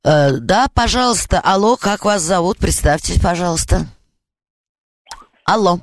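A woman's voice: lowish voice, expressive, measured pace, no room sound. A woman with a Moscow accent hosting a radio call-in show, hesitant, with many fillers and stuttered starts. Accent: Moscow Russian.

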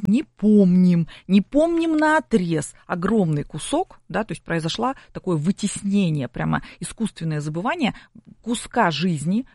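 A woman's voice: mid-range voice, a little mellow, no echo, studio quality. A middle-aged woman explaining things as an expert guest in an interview, in a didactic way with rhetorical questions. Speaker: psychology expert guest on a broadcast.

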